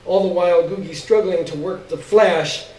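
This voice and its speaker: A man giving an expressive live reading of a literary story, with dramatic, halting pauses. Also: high voice. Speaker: story reader. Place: live stage reading indoors. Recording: roomy, distant stage recording.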